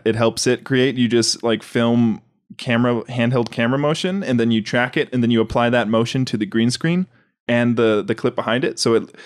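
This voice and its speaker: A man with a medium-pitched voice chats casually on a podcast. He thinks aloud and explains his ideas in a loose, informal way. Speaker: sketch comedy podcast crew member. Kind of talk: casual brainstorming chat.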